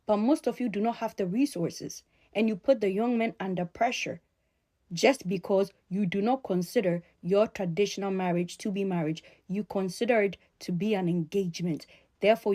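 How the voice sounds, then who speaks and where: Emphatic woman with a mellow voice. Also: mid-range voice. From Ghana. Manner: emphatic, admonishing, deliberate repetition. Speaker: sociologist addressing followers. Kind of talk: opinion vlog, direct address to audience.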